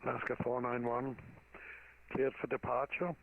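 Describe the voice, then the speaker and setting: A man's voice: medium pitch, flat delivery, normal speed, gravelly. A man playing a pilot, giving brief, matter-of-fact readbacks over the radio. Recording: tinny radio channel.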